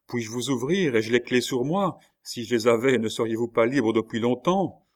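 A man's voice: mid-range voice, normal speed, close to an everyday voice. A man voicing a frightened, loving young woman in an audiobook, pleading and full of emotion. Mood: anguished pleading. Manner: pleading, sobbing, breathless with emotion.

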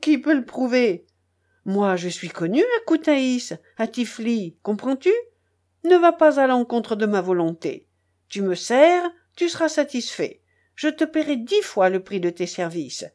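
A woman's voice: fairly high pitch, big pitch swings, measured pace, a little mellow. A woman voicing an angry, domineering grown man who calls himself a prince, in a scolding tirade from a storybook reading. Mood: indignant.

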